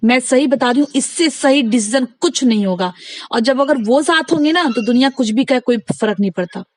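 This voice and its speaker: A woman with a somewhat high-pitched voice chats casually on a recorded phone call. The sound is close and dry. She speaks insistently, repeating phrases as she gives advice. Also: brisk, dry recording. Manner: insistent, repeats herself, advising. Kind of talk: casual chat, phone conversation.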